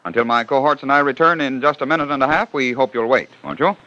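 Male radio host, genial storyteller, bright voice, muffled old recording. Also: medium pitch, expressive, quick pace. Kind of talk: scripted 1940s radio narration. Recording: dull old radio transcription.

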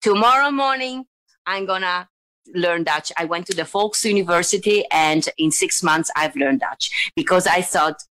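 A woman from Italy with an Italian accent, telling stories as an interview guest in a chatty, animated way with frequent restarts. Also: fairly low pitch, normal speed, poor audio. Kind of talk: casual conversational storytelling.

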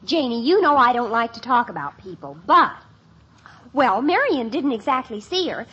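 An actress playing a chatty, exasperated teenage daughter in a 1950s radio sitcom. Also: female voice, a little high, sing-song, moderate pace, a bit bright, husky, exaggerated voice. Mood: gossipy. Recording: dull old radio broadcast recording.

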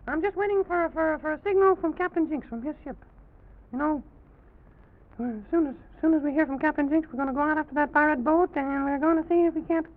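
A man voicing an excitable, bumbling, boastful little president who stammers and repeats his words in an old children's radio story. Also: very high voice, pitched above the performer's own voice. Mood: flustered, eager. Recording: dull, muffled old radio recording.

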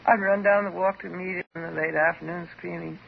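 An American actress playing an intense, unstable psychotherapy patient, theatrical and emotionally volatile, in a 1950s radio drama. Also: female voice, lowish voice, steady tone, fairly quick, vocal fry. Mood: wistful. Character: intense, unstable woman psychotherapy patient in love with her doctor. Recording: dull, hissy 1950s radio recording.

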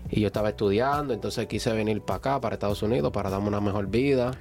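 Young male guest, raspy lowish voice, relaxed, faint music behind. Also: fast. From Puerto Rico. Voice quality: hoarse, raspy voice. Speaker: guest singer and radio announcer.

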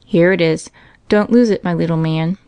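A woman's voice: on the low side, moderate pace, mellow, smooth, dry recording, natural voice. A woman voicing a kindly, reassuring family father in a storybook reading. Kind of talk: storybook character voice.

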